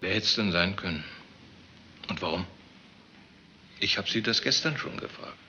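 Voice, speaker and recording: Bright-voiced, needling police detective. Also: male voice, deep voice, expressive, normal speed, highs cut off, full character voice. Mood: insistent, sardonic. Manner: probing, pointed questioning. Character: suspicious, needling male police detective, persistent and sardonic. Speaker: actor in a radio crime drama. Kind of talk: German radio crime drama acting. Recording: faint background noise.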